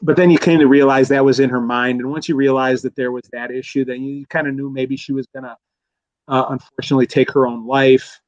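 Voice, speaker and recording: A man in a casual film discussion as a guest expert, speaking in a halting, rambling way and often correcting himself. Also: medium pitch, steady tone, darkish tone, no room sound, muffled recording. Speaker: guest expert, college professor.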